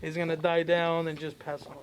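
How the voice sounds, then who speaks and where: A man's voice: on the high side, level tone, brisk, slight background noise, poor audio. A man hosting a casual group podcast, asking blunt questions in a slangy, profane way. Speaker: podcast host.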